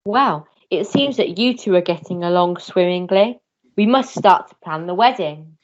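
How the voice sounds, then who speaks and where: A woman's voice: on the low side, expressive, normal speed, lightly acted. Woman playing a proper, pompous Major, muffled. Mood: brisk, insistent. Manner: formal, proper. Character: pompous, protective older male Major, father. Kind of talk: melodrama radio play acting. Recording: dull lo-fi recording.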